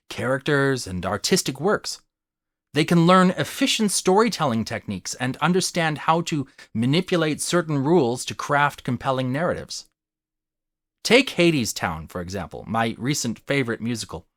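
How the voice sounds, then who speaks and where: Male essay narrator, mid-pitched and animated, formal and earnest like a lecturer, recorded dry. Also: no room sound. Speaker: video essayist. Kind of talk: scripted argumentative narration.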